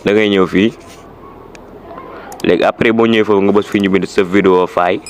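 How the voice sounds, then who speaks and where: A man's voice: low-pitched, fairly even intonation, brisk, slight background noise. A man with a Senegalese accent gives an informal step-by-step app tutorial, repeating instructions and mixing in French words. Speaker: tutorial presenter.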